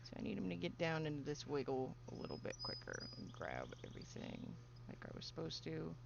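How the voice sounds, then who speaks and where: A woman's voice: low voice, wildly swooping pitch, brisk, gravelly, background noise. A woman game developer chatting casually and giggling during a livestream Q&A while she works. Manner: chatty and giggly, talks to her dog. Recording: muffled stream audio.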